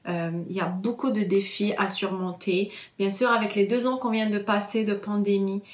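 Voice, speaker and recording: A woman's voice: medium pitch, fairly even intonation, moderate pace, roomy sound. A woman in her thirties, originally from Morocco, giving a personal testimony in an earnest way with many hesitations and fillers. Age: 30s. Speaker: interviewee.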